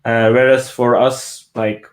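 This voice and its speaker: A man in his thirties, an English speaker from Turkey, talking as a guest on a video call, hesitant and filled with pauses and wry laughs. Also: medium pitch, flat delivery. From Turkey (Kurdish region, Diyarbakır). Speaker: guest, business consultant and coach. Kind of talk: casual interview on a video call.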